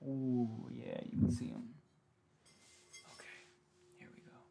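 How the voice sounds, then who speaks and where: A man in his thirties speaking softly in casual ASMR style, unhurried and often slipping into whispers. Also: mid-range voice, fairly even intonation, vocal fry. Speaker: ASMR host. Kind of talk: soft-spoken ASMR, casual chat to viewers. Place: small home room, indoors. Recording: faint background noise and room ambience.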